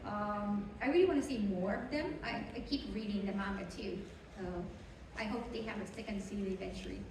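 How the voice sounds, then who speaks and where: A woman's voice: medium pitch, fairly quick, creaky. Giggly woman in an echoey hall. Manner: giggly, casual, hesitant word searching. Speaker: convention panel guest. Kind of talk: answering fan questions at a panel. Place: large convention panel room. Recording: echoey hall from far off, noisy.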